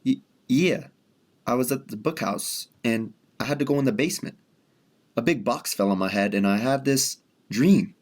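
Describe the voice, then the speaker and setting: A man playing a nervous, earnest thirty-year-old in a radio drama, husky and hesitant. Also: medium pitch, moderate pace, darkish tone, natural voice. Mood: flustered. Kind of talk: high school radio drama acting.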